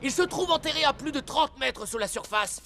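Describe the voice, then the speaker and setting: A man's voice: very high-pitched, animated, a little fast, bright, forceful tone, a little hiss or hum, heavily acted. A man playing a cocky but cowardly football henchman in a dubbed cartoon, whiny and protesting. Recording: music behind the voice.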